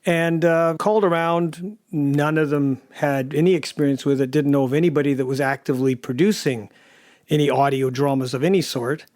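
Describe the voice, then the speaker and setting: A man casually recalling past conversations in an interview, in a halting, conversational way. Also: on the high side, fairly even intonation, a little mellow, no echo, studio quality. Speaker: audio drama director and producer.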